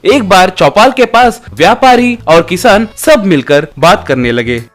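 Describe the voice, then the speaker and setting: A man's voice: high voice, lively intonation, a little slow, soft background music. A man narrating a scripted comic folk tale as a storyteller.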